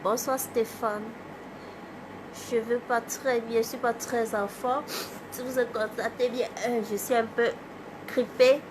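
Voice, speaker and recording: A woman from Cameroon with a cold, hosting a casual livestream, greeting viewers by name and chatting in a halting way. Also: fairly high pitch, steady tone, background noise. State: has a cold. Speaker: livestream host, artist. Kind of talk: casual live chat with viewers.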